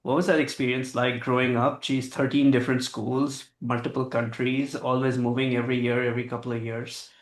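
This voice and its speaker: Flat-toned male moderator, echoey room. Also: mid-range voice, a little fast, few pauses. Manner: friendly, casual, with fillers. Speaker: moderator, interviewer. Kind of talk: fireside chat moderating.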